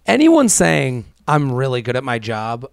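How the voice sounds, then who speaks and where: Man podcaster with a creaky, dry-recorded voice. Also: medium pitch, wildly swooping pitch, measured pace, dry recording. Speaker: podcast co-host. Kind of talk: casual podcast banter, reading listener emails aloud.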